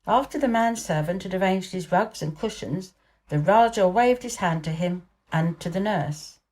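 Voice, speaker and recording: A woman storyteller giving an expressive scripted narration of a children's novel. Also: lowish voice, moderate pace.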